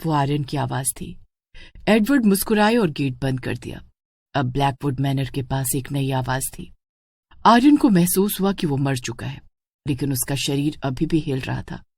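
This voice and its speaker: A woman's voice: very deep voice, expressive, normal speed, darkish tone, slightly rough, no room sound, studio quality. A woman giving a dramatic scripted narration of a horror story.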